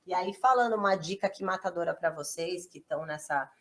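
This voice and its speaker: A woman's voice: fairly low pitch, fairly quick. A woman with a Brazilian accent, an English teacher who explains step by step in an encouraging, casual way. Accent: Brazilian Portuguese.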